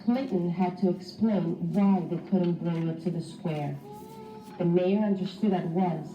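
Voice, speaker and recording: Female teacher, animated storybook read-aloud, distant roomy recording, dull sound, music underneath. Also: on the low side, unhurried, slight background noise. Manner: expressive, instructive, addressing young children. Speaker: teacher reading aloud to pupils.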